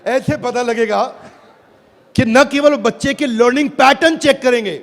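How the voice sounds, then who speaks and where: A man giving an energetic product pitch on stage to a live audience, teasing and calling out to the crowd. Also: a little slow. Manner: showy, teasing, calls out to the crowd. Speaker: business presenter on stage. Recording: large hall with crowd and faint noise.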